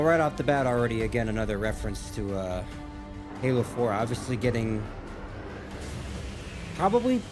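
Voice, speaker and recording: A man with a middle-pitched voice gives casual gaming reaction commentary. He thinks aloud in a halting way, with game music playing clearly behind him. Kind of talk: casual running commentary over game cutscenes.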